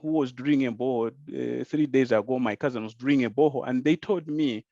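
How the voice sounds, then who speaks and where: A man from Zambia with a Zambian accent answers as a panelist, explaining deliberately and posing rhetorical questions. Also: medium pitch, gravelly, mellow, no echo, highs cut off. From rural Zambia.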